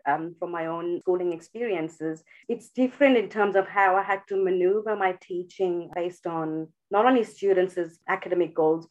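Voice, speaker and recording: A woman's voice: fairly low pitch, muffled recording. A woman educator from India, thoughtfully answering podcast interview questions with frequent restarts. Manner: thoughtful, many restarts and fillers. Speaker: guest educator.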